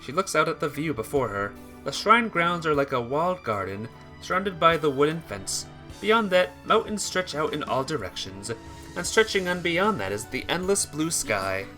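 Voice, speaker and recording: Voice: mid-range voice, big pitch swings, normal speed, pushed and bright, faint music behind. An amateur reader narrating a visual novel expressively, as a story.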